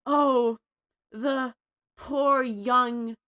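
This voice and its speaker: A young adult woman from Mexico, a fan fiction writer chatting as a podcast guest, animated and full of fillers as she thinks aloud. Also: high-pitched, very slow, clean tone. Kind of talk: casual podcast chat.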